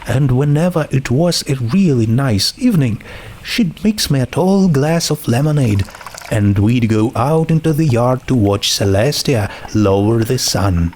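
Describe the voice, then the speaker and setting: A man's voice: medium pitch, darkish tone, a little hiss or hum, pitched above the performer's own voice, natural voice. A man voicing a friendly, homesick teenage girl in a storybook, talking in a casual, chatty way.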